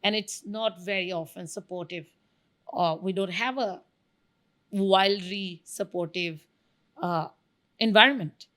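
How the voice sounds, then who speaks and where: A woman's voice: medium pitch, pushed and bright. A woman from Bangladesh, answering interview questions emphatically and enthusiastically. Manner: emphatic and enthusiastic, lists examples. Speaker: guest, venture studio founder.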